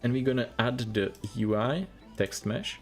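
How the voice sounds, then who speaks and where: A man's voice: medium pitch, unhurried, faint music behind. A man from the Czech Republic with a Czech accent, whose first language is Czech, walks through a coding tutorial in plain step-by-step instructions and keeps repeating "we're gonna". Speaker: programming tutor. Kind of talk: screen-recorded coding tutorial.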